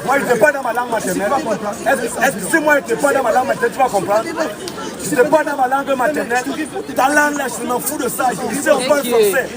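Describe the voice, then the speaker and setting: A man's voice: extremely high pitch, quick pace. A man preaching in a heated street debate, insistent and combative, repeating his phrases and challenges. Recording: distant mic amid loud outdoor crowd.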